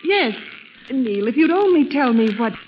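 An actress playing a genteel, solicitous wife with expressive delivery in an old-time radio drama. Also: female voice, high voice, unhurried. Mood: puzzled, concerned. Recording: muffled vintage radio, slight noise.

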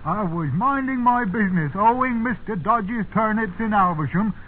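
Man playing high-voiced, grumbling old English farmhand. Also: normal speed, close to an everyday voice. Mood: indignant. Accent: rural English. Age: older. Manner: plain-spoken, grumbling dialect talk. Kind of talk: 1950s radio drama acting. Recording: tinny, noisy 1950s radio recording.

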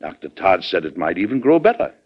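An actor playing a firm, shrewd American president in an old radio drama, talking bluntly and assertively with an American accent. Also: male voice, sits low, big pitch swings, a little fast, slightly rough, heavily acted. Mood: insistent, fatherly. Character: firm, plain-spoken American male president, shrewd and commanding. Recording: dull, band-limited vintage radio recording.

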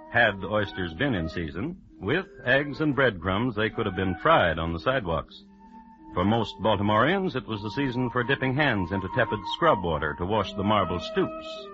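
A man hosting an old-time radio crime show, telling the story in a dry, deadpan, wry way. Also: on the low side. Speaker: host and narrator. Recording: dull vintage radio recording with music.

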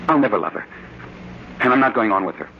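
Through a muffled, noisy old radio recording comes a brooding American husband with secret plans. His smooth talk swings widely in pitch, in the melodramatic manner of 1940s radio. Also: lively intonation, fast talker. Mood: earnest, determined. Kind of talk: 1940s radio drama acting.

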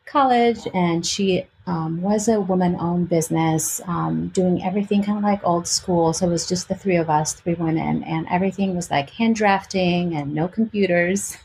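A woman's voice: lowish voice, moderate pace, gravelly, a little mellow, a little hiss or hum, poor audio. A woman in her forties with a slight Albanian-Greek accent, casually telling personal stories as an interview guest. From Albania and Greece.

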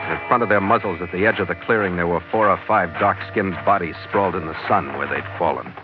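A man's voice: sits low, fast. A man playing a tough American sea captain narrating a 1940s radio adventure in clipped, hard-boiled, dramatic fashion. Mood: wry, grim. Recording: muffled old radio broadcast with music.

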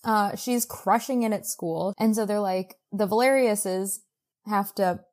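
A woman's voice: mid-range voice, unhurried. A woman co-hosting a podcast, recapping a book in casual, exclamatory chat peppered with "like". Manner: animated, exclamatory, heavy use of "like". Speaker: podcast co-host.

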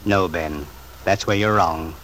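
An actor playing a principled bachelor uncle, earnest, measured and reproachful, in an old-time radio drama. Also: male voice, low-pitched, fairly bright tone, low-quality recording. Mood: firm.

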